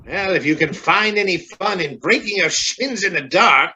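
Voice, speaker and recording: A man playing an irascible, scornful gentleman in a radio drama, sputtering and exclaiming as he blusters. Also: sits high, expressive, normal speed, full character voice. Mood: scornful grumbling. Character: irascible, blustering male visitor trapped in a coal mine. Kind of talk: stage-like radio drama acting.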